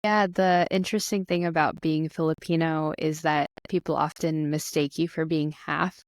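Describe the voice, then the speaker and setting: A woman's voice: low voice, fairly even intonation, normal speed, no echo, clean studio sound. A woman hosting a podcast, talking in a friendly, conversational way. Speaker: podcast host, therapist. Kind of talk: podcast interview.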